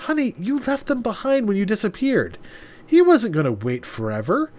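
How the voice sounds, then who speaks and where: A woman's voice: mid-range voice, very animated, a little fast, pitched above the performer's own voice, close to an everyday voice. A man doing a smug, sugary young wife. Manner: sugary, polite, gloating. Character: proper, smug young wife, sweetly gloating.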